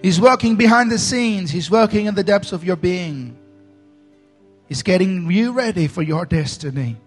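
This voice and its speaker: A man from India, a pastor preaching a sermon with dramatic swings between loud emphasis and soft asides. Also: high voice, expressive, moderate pace, faint music behind.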